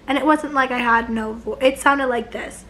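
Hoarse girl in her 20s chatting on her couch, flat tone, faint room hum. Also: sits high, normal speed. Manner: rambling, many likes and restarts, gestures a lot. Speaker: vlogger. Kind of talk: casual chat to camera. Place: living room couch, indoors.

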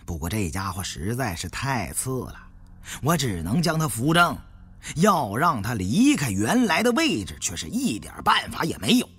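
A man narrating an adventure story in a dramatic, expressive storytelling manner. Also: medium pitch, moderate pace, slightly rough, soft background music. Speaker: audiobook narrator.

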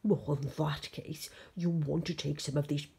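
A gruff she-monster of the mountains, put on by a woman, lower in pitch and loud. She is blunt, emphatic and quick to take offence, straight out of a rhyming storybook. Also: steady tone, heavily acted. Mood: helpful. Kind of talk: children's rhyming storybook character.

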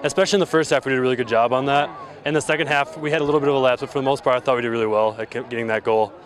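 A man's voice: mid-range voice, fairly even intonation, racing. A young man, a college football lineman, answering postgame questions outdoors confidently and fluently. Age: young adult.

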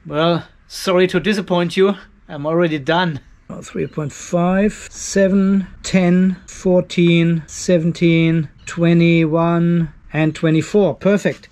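A man's voice: fairly high pitch, level tone, slow pace, darkish tone. A man in his fifties narrating a hands-on DIY job in a casual, informal way, thinking aloud as he works. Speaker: DIY video host. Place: garage workshop with metal roof. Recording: some background noise in workshop.

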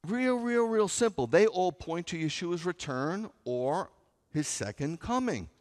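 A man's voice: sits high, very animated, a little slow, a little mellow. A middle-aged American man preaching as a rabbi, conversational and wry, leaning on repetition and rhetorical questions for emphasis. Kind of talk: sermon. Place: large sanctuary, at a pulpit before seated listeners. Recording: reverberant hall sound.

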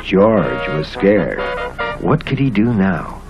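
An American man narrating a children's story in a theatrical, expressive way. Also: sits low, slow pace. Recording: muffled old cartoon soundtrack with music.

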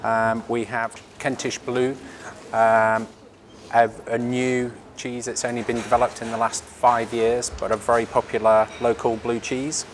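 A middle-aged man who owns a farm shop, speaking in an earnest, measured way with frequent fillers in a promotional interview, recorded indoors in the shop. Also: medium pitch, flat delivery, a little hiss or hum. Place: indoor shop by the cheese counter.

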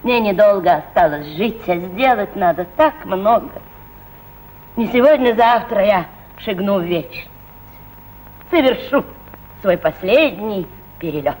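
An older actress playing a pilot's mother, an aging painter who speaks in a dreamy, theatrical way and drops into hushed murmurs. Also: medium pitch, animated, a little slow, pauses often, slight background noise, natural voice, rough recording. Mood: wistful. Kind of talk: stage play acting.

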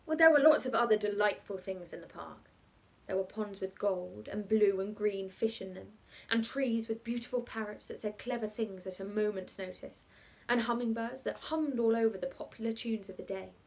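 A woman voicing an unconcerned bachelor who invents a children's story in a matter-of-fact, deliberate way. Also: medium pitch, darkish tone, close to an everyday voice. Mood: storytelling.

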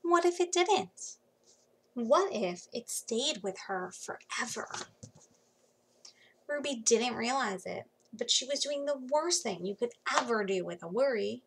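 Woman, thirties, children's read-aloud, very lively intonation, slow delivery, dramatic pauses, hushed emphasis, home webcam recording. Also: on the high side, vocal fry, rough recording. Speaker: children's book reader. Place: small home room, indoors. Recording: faint background noise.

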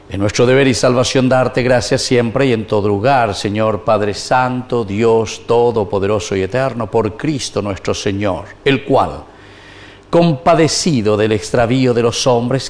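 A middle-aged man from Paraguay, a priest reciting the prayers of Mass in a measured, solemn way in a small wood-paneled chapel. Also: fairly low pitch, darkish tone.

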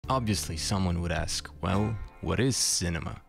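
A man's voice: deep voice, normal speed, creaky. A man narrating a scripted video essay with dry, wry asides. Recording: music behind the voice.

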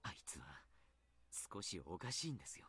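An actor voicing a mild, polite, teasing doctor in a Japanese visual novel, speaking in a courteous, measured manner. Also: male voice, lowish voice, very animated. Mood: grave, confiding. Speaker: doctor and baseball coach character.

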